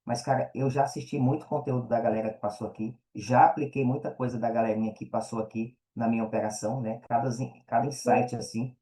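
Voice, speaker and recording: A man's voice: medium pitch, steady tone, fast, hardly any pauses, dark tone. A Brazilian man chatting casually as an interview guest, explaining things in slangy, informal speech and often checking that he is understood. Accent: Brazilian Portuguese. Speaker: podcast guest.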